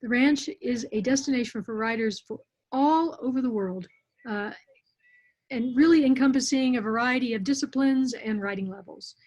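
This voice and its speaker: A woman's voice: fairly high pitch, normal speed, darkish tone. A woman hosting an online interview, reading an introduction in a friendly way with frequent fillers, over muffled audio. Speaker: interview host.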